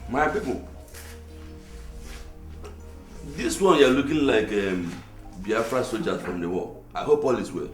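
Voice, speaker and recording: A man's voice: fairly low pitch, lively intonation, moderate pace, soft background music, close to an everyday voice. An actor with an Igbo Nigerian accent playing a middle-aged, blustering titled village man in a film drama, pompous and dismissive. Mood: jovial.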